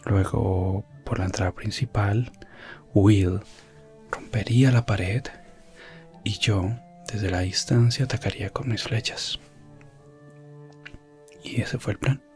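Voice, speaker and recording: A man narrating a medieval ASMR roleplay as an elf prince explorer, in a hushed voice that slips in and out of whispering. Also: on the low side, slow, pauses often, soft background music. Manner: hushed, drifting between soft voice and whisper. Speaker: ASMR storyteller.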